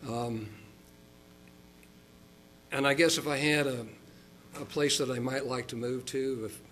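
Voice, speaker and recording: An older man from Oklahoma preaching a sermon as a pastor, folksy and chatty, slowing down for emphasis. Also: fairly even intonation, fairly bright tone, slight background noise. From Oklahoma, United States. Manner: folksy and chatty, with chuckles and fillers; slows and rises in pitch for emphasis.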